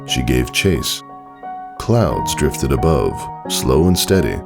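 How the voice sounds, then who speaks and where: A man narrates fiction in a very low voice with a creaky edge. His delivery is measured and dramatic, moving expressively through the lines over background music. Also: very animated. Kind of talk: scripted story narration. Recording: music under the voice.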